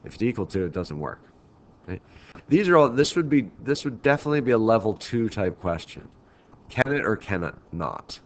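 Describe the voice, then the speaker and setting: A man's voice: fairly low pitch, sing-song, normal speed. A man with a stuffy head cold giving a recorded math lecture in a casual, meandering way with frequent restarts. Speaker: teacher. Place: at home, recording remotely.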